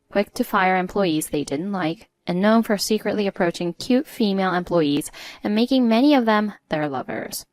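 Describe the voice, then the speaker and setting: A woman narrates a scripted personal story at a medium pitch. Her delivery is measured and articulate, and the recording is very dry with no room sound. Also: no echo.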